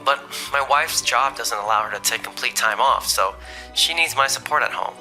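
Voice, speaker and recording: A man's voice: mid-range voice, normal speed, pushed and bright, soft background music, poor audio. A man playing a polite, conscientious young father who works in an office, speaking earnestly in a manga dub. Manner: courteous, earnest. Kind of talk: scripted manga dub acting.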